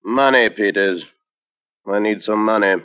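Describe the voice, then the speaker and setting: A man playing a scheming stage director with formal, deliberate phrasing, in 1940s radio drama style. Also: on the low side, smooth, lightly acted. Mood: sly, insistent. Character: smooth, scheming male stage director turned blackmailer. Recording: dull old-time radio recording.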